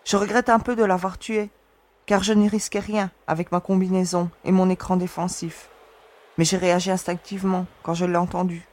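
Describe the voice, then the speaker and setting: A woman acting a resolute young space explorer who reasons calmly and gives orders. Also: lowish voice, normal speed, close to an everyday voice. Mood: steady, reasoning. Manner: calm, reasoning, commanding. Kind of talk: audio drama acting.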